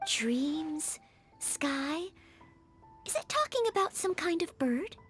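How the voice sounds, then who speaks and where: A very high, sweet girl's voice from a game, faint music behind it. She sounds earnest, curious and modest. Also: sing-song, slow pace, fairly bright tone, heavily acted. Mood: curious pondering. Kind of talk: video game voice acting.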